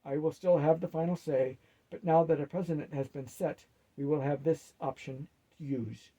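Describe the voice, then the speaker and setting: A man voicing the commanding boss of the undead, with loud, authoritative, deliberate pronouncements. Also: a little high, steady tone, low-quality recording. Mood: stern, authoritative.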